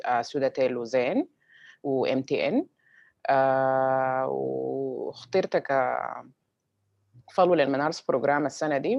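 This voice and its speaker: A woman's voice: rumbling low, creaky, no room sound, muffled recording. A woman from Sudan with a Sudanese accent, a workshop trainer speaking informally and mixing languages as she goes. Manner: mixes Arabic and English. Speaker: fellowship member and workshop trainer. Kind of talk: informal self-introduction.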